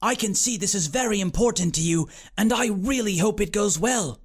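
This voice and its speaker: A man's voice: sits high, normal speed, husky. A man voicing a polite, earnest young boy in a storybook reading.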